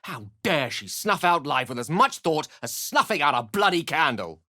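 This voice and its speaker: A man's voice: a little high, sing-song, moderate pace, sharp tone, no echo, studio quality. A man voicing a learned, eloquent wizard adventurer in a video game, with an English accent and a theatrical, articulate delivery. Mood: indignant. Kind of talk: dramatic scripted game dialogue and narration.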